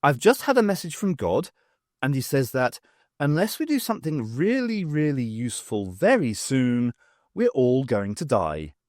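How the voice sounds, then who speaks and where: A man voicing a brisk, commanding adult woman heroine in an audiobook reading. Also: mid-range voice, sing-song, a little mellow, dry recording, clean studio sound, natural voice. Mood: urgent.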